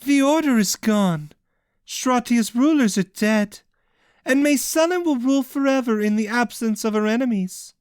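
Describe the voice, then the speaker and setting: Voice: mid-range voice, normal speed, clean tone, dry recording, studio quality, pitched above the performer's own voice, natural voice. A storyteller voicing a cloaked woman villain who teases in a patient, cold way. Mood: gloating.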